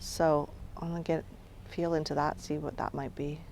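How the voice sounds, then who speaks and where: A middle-aged woman with a lowish voice talks as a spirit medium, calm and reflective, her sentences often fading softly. She is recorded outdoors on a coastal island. Faint outdoor noise sits behind her. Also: normal speed, gravelly. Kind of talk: on-location reality show narration.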